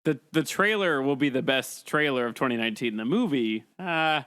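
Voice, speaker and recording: A man's voice: mid-range voice, expressive, moderate pace, a bit bright, clean tone, no room sound. A man hosting a casual comedy panel, bantering and putting teasing questions to the others. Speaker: podcast host moderating a panel.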